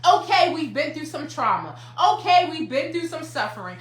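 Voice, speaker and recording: Woman in her fifties, high lively voice, emphatic motivational talk, roomy echo. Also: moderate pace. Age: 50s. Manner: emphatic, repetitive, preacherly. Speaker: counselor and life coach.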